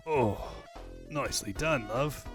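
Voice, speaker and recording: A man's voice: mid-range voice, takes it slowly, over music, lightly acted. A man voicing a cocky, flirty secret agent and rebel leader, with a put-on Australian accent and suave, slangy speech. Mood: smug, charming. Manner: suave, slangy, formal flourishes.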